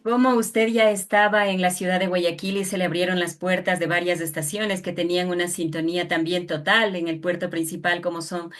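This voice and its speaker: A woman's voice: fairly low pitch, flat delivery, brisk, few pauses, a bit bright, soft background music. A woman with an Ecuadorian accent conducting an interview in a formal, respectful manner. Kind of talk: radio interview.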